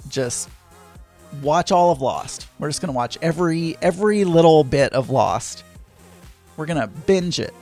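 Man, hoarse, crackly, slightly high voice, rambling casual podcast chat, faint background music. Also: a little mellow. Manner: chatty, digressive, self-deprecating. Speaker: podcast host.

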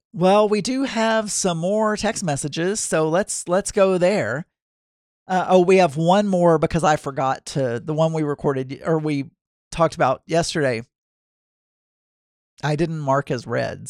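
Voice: medium pitch, a little slow, a little mellow, no echo, studio quality. A podcast host with a Southern US accent, chatting casually and candidly on their own, often breaking off mid-sentence and starting again. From American South. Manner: rambling, self-interrupting, chatty and candid. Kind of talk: casual solo podcast chat.

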